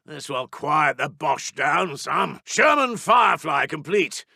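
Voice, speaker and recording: British ww2 army intel officer for a game, really animated and forceful, brisk formal reports, dry close sound. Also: male voice, on the high side, gravelly, dry recording, full character voice. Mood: eager, proud. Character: excitable WWII British army intelligence officer, brisk and stiff-upper-lipped. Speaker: military announcer. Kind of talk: video game unit announcements.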